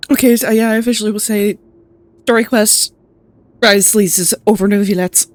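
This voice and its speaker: A woman giving casual, gushing gameplay reaction commentary, excitable and prone to swearing. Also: mid-range voice, fairly quick, no echo. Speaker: gaming content creator. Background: game music and sound.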